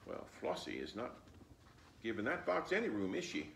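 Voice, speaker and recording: A man reading a storybook aloud to children in an animated, expressive way. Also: fairly low pitch, normal speed, vocal fry. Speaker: storybook reader. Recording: roomy, echoing, distant microphone.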